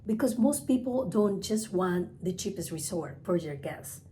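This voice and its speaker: A woman's voice: fairly low pitch, expressive, a little slow, mellow, soft background music. A woman who is a native Spanish speaker with a Spanish accent, giving an instructional talk as a trainer, emphatic and repeating key phrases. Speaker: travel agent and group trainer. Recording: clearly noisy recording with ambient sound.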